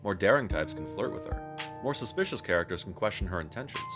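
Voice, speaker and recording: A man's voice: low-pitched, expressive, fast, over music. A man narrating a scripted but conversational video game review in a wry, self-deprecating way, with wordy phrasing and casual swearing.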